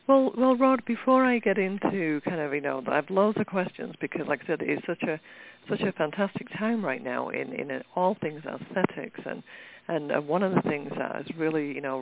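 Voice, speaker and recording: A woman's voice: low voice, gravelly. A woman hosting a radio interview, upbeat and chatty, with many "you know" fillers. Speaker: radio show host. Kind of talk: hosted interview chat. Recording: phone-like lo-fi line.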